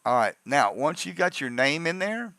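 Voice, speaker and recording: Gravelly-voiced man giving a casual tutorial. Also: on the high side, very animated, normal speed, bright, forceful tone, dry recording, studio quality. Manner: informal, rambling step-by-step explaining, often checking "okay". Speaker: tutorial host. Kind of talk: casual software tutorial narration.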